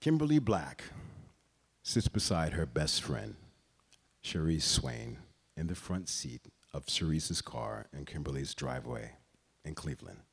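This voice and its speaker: A man reads a literary story aloud in a deliberate, expressive way, pausing between phrases. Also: a bass voice, creaky, breathy edge. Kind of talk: dramatic literary reading.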